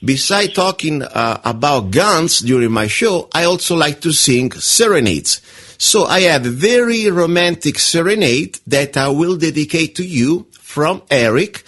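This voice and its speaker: A man with an Italian accent hosting a radio show, talking on a phone call in a halting, friendly way with many fillers. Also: on the high side, expressive, fairly bright tone. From Italy. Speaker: radio host.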